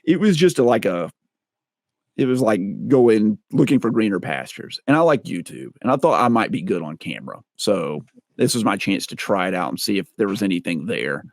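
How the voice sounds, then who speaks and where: A middle-aged man speaks in a low, clear, slightly boomy voice, rambling casually as an interview guest. He pokes fun at himself often. The sound is dry and close, recorded on a large studio microphone. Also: big pitch swings, fairly quick, no echo. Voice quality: deep, clear, a little boomy. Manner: rambling, self-deprecating, laughs at himself. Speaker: interview guest, content creator. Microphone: large-diaphragm studio microphone.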